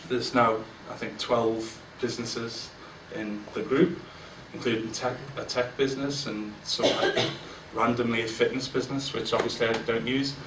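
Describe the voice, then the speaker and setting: A man talks to a roomful of people in a candid, self-deprecating way, stopping and restarting often. He sits at a middling pitch, and he is picked up from across a roomy space with a faint hum of ambience. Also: fairly even intonation, moderate pace, hardly any pauses, distant mic. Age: 40s. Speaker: guest speaker. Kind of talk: informal business speech. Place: large event room with an audience.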